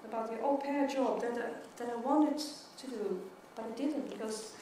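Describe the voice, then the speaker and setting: A woman's voice: on the high side, a little slow, mellow, a little hiss or hum. A middle-aged woman from Sweden with a Swedish accent, giving a conference keynote in English and stuttering with repeated words and syllables. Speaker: keynote speaker. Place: large conference hall. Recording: echoey hall, mic from far off.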